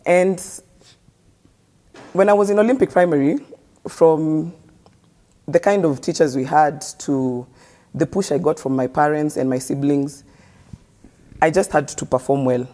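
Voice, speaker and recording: Mellow-voiced Kenyan woman telling her story. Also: sits low, a little slow, long pauses. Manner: earnest storytelling, switching between English and street slang. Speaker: guest. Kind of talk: conversational life story.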